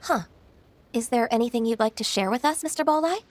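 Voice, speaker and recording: An actress playing a gentle, composed and shrewd young secretary in a video game, speaking politely and thoughtfully. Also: female voice, a little high, lively intonation, fairly quick, a little hiss or hum, lightly acted. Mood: coolly polite.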